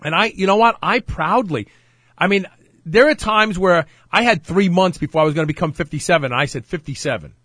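A man's voice: high-pitched, fairly quick, fairly bright tone, breathy edge, no room sound, clean studio sound. A man in his fifties hosting a radio talk show, chatting energetically and proudly about getting older. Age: 50s. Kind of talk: casual radio show chat.

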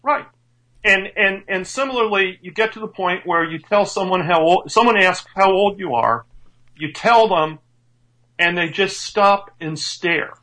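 Fifties strength coach guy, high bright voice, eager, keeps restarting his sentences. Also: lively intonation, measured pace, poor audio. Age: 50s. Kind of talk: casual radio show chat.

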